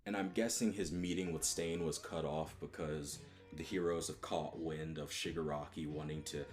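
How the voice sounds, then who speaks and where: A man giving a casual solo video review, talking in a mostly flat, monotone way and restarting his sentences often. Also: rumbling low, normal speed, soft background music. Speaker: manga reviewer.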